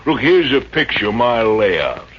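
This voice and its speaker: A man's voice: mid-range voice, sing-song, a little slow. An actor playing a folksy, slick, jovial good old boy with a Texan accent in 1950s radio comedy. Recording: dull, hissy old radio recording.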